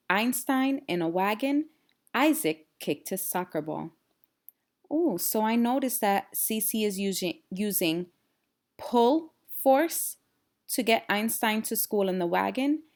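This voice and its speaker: A woman reads a children's storybook at a slow, measured pace. Her voice is middle-pitched and expressive, and she adds explanatory asides for young listeners. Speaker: read-aloud storyteller and teacher. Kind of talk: children's storybook read-aloud with teaching asides.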